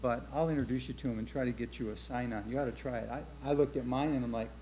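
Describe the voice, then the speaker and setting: Man, mellow voice, chatty board member, rambling, faint mains hum. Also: medium pitch, steady tone, fast, low-quality recording. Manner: chatty, rambling with "you know". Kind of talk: board meeting discussion.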